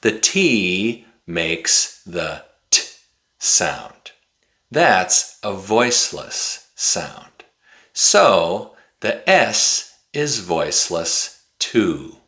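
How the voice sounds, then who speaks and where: A man in his forties giving an English pronunciation lesson to camera, enunciating deliberately and carefully like a teacher. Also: low-pitched, big pitch swings, sharp tone. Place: small indoor room with bookshelf.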